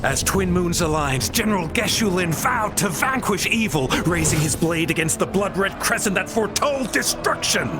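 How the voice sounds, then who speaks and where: Swooping, theatrical storyteller. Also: male voice, high voice, animated, over loud music. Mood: grave, epic. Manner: grandiose, declamatory, drawn-out emphasis. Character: theatrical street storyteller, grandly recounting heroic war legends. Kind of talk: dramatic storytelling narration.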